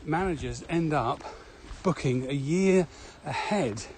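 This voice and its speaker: A man's voice: on the high side, slow pace. A man talking casually as co-host of a podcast. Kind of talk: casual conversational podcast chat. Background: steady ambient noise.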